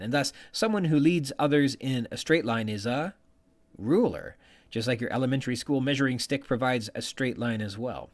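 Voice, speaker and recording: A man hosting a podcast, delivering scripted literary commentary with an emphatic delivery. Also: medium pitch. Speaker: podcast host.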